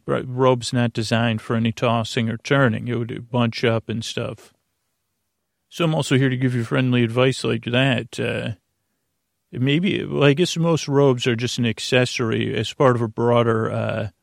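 A middle-aged man hosting a bedtime podcast, rambling haltingly through stammered restarts and tangents with gently absurd, self-deprecating humour. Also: medium pitch, gravelly, dry recording, clean studio sound. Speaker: podcast host.

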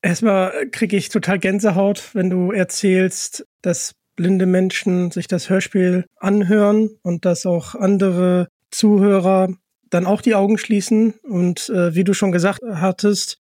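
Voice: mid-range voice, level tone, a little slow, mellow, dry recording, clean studio sound. An interviewer talking conversationally and appreciatively with a guest.

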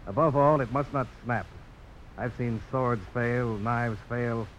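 Frontier hero male actor: average pitch, confident and clipped, gentlemanly adventurer, lightly noisy old radio play. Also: takes it slowly, close to an everyday voice. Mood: determined. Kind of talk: 1950s radio drama acting.

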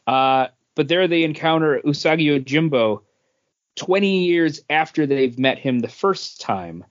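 A man's voice: a little high, expressive, measured pace. A middle-aged man co-hosting a comics podcast in casual chat, hesitant and full of filler words. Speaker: podcast co-host. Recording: dull lo-fi audio.

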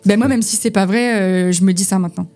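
A woman's voice: mid-range voice, quick pace, a little mellow, soft background music. A young woman from the Vosges in France, a podcast guest talking casually about acting, with lots of fillers and restarts. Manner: chatty, many restarts and fillers. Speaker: podcast guest, actress and poet. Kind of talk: casual chat. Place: informal gathering over beer.

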